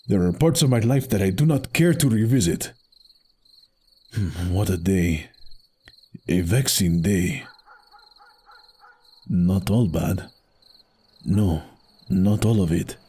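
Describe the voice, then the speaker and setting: An actor playing a brooding, honourable masked luchador hero in a pulp audio drama, speaking formally and with measured courtesy. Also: male voice, sits low, expressive, mellow, slightly rough, full character voice.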